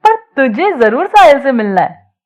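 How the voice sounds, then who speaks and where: Wry, high-voiced young college woman played by a woman. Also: sing-song, normal speed, soft background music. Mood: teasing. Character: shy but teasing young woman in college.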